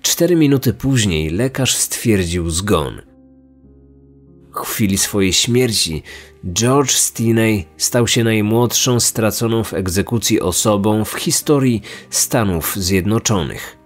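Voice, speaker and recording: A man delivering serious, measured scripted true-crime narration as a podcast host. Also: fairly low pitch. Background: music.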